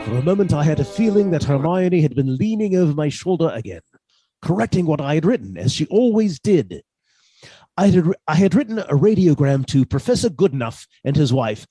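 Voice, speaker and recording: High-voiced, expressive scheming professor played by a man. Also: brisk, breathy edge, no echo, soft background music. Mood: brooding narration. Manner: formal, old-fashioned turns of phrase, hesitant when flustered. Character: henpecked professor husband, secretly scheming wife-killer, outwardly mannerly. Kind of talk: radio suspense play acting.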